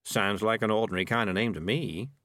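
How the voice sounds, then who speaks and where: A man voicing a streetwise male cat who agrees with everything, glib and quick to invent stories. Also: fairly low pitch, a bit bright, dry recording, clean studio sound, natural voice. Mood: wheedling. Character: streetwise male cat, sly and eager to please.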